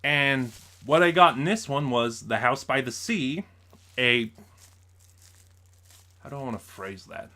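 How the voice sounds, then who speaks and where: A man's voice: medium pitch, unhurried, gravelly, pauses often, pushed and bright. Rambling man chatting through an unboxing. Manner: rambling, self-correcting explainer. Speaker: vlogger reviewing audio drama purchases. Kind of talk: casual unboxing chat.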